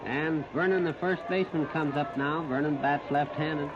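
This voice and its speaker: A man's voice: on the high side, steady tone. Muffled, noisy vintage American baseball radio announcer, male. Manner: clipped, step-by-step narration in short phrases with pauses. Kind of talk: 1939 live radio sports commentary. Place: outdoor ballpark, broadcast position behind home plate. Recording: muffled, noisy 1930s radio disc recording.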